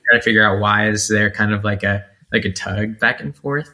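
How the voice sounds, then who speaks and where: A man's voice: fairly low pitch, brisk, pushed and bright. A man from North Carolina, a content creator talking about himself as an interview guest in a reflective way, with many fillers and restarts. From North Carolina, USA. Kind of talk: casual interview talk.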